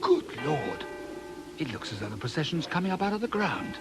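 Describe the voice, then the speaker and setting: An actor playing a down-to-earth police inspector in a radio drama, dry and sardonic, with a British accent. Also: male voice, fairly high pitch, very animated, brisk, music in the background. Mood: watchful, sardonic.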